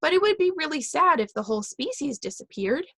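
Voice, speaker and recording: A woman's voice: sits high, very animated, brisk, sharp tone, dry recording, voice pushed up, exaggerated voice. A woman voicing a worried, earnest schoolgirl in a storybook read-aloud.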